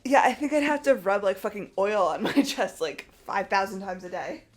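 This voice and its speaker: A woman's voice: medium pitch, moderate pace, soft background music. A woman chatting casually among friends during a game playthrough, in a crude, jokey way. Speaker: cast member in a group playthrough. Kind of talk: casual joking chat.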